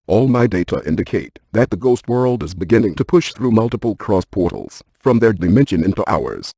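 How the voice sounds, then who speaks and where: A man playing a brainy, stiff ghost-hunting scientist in a video game, speaking in a precise, clinical deadpan. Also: on the low side, brisk, slightly rough, no echo, clean studio sound, full character voice. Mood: deadpan, analytical.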